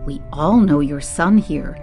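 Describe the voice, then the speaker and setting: Imperious, low-voiced woman villain. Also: creaky, a little mellow, soft background music. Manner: haughty, menacing, deliberate. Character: cold, imperious woman interrogator, menacing zealous overseer. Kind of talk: audio drama acting.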